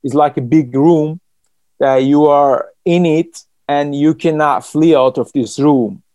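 A man from Iran, a writer, speaking in an interview in a thoughtful, halting way with many restarts and fillers. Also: fairly high pitch, warm tone, no echo. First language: Persian.

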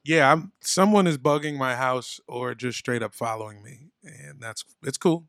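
A man's voice: mid-range voice, normal speed, vocal fry, fairly bright tone. A man co-hosting a podcast in casual comedic banter, riffing playfully. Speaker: podcast co-host.

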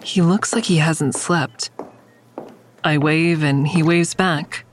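A woman's voice: sits low, steady tone, slow pace, background noise. An actress playing an anxious, earnest crisis counselor, telling her story in a hesitant, introspective first-person style for an audio drama. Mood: uneasy, reflective.

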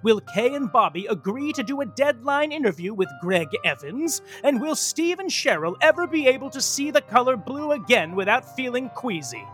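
A man delivers comic scripted narration like a grandiose announcer. His voice is bright and swings widely in pitch. Music plays faintly behind him as he reads with theatrical flair. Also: sing-song, moderate pace, hardly any pauses.

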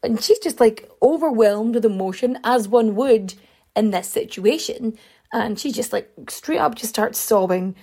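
A woman host telling a story casually, full of fillers, with swings in pitch and a voice she says is going. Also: expressive, unhurried, warm tone. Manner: chatty, many fillers, big pitch swings. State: losing her voice.

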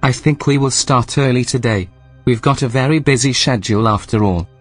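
A man playing a controlling station AI in a sci-fi audio drama, with a medium-pitched male voice. He is coldly polite and sardonic, choosing each phrase deliberately to threaten. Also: faint music behind, natural voice. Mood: coldly menacing. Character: coldly menacing, controlling male artificial intelligence. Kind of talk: science-fiction audio drama acting.

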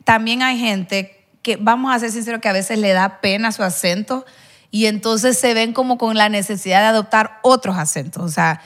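A woman's voice: mid-range voice, moderate pace, a bit bright. A woman with a Honduran accent, chatting as a guest on a podcast and giving her opinions bluntly. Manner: outspoken, opinionated. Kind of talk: casual chat.

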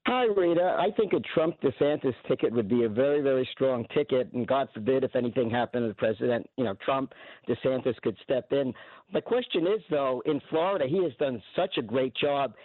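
A man's voice: medium pitch, brisk, no room sound. A man calling in to a radio talk show, earnest and polite. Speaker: caller. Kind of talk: call-in radio. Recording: tinny phone line.

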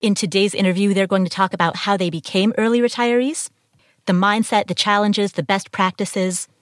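A woman's voice: mid-range voice, fairly even intonation, normal speed, dry recording, studio quality. A woman with an American accent speaking as a podcast host and interviewer. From Ohio, United States. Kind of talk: scripted podcast intro and interview.

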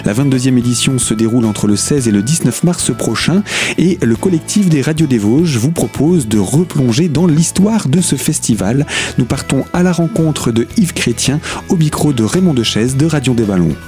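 A male radio presenter with a very mellow, dark-toned voice at medium pitch. He reads a scripted magazine introduction over light music. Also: animated, normal speed. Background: music bed.

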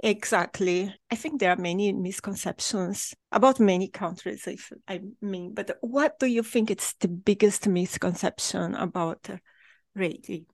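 A woman's voice: mid-range voice, a little slow, dry recording. A woman hosting a podcast interview, asking questions and giving short encouraging replies. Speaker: podcast host.

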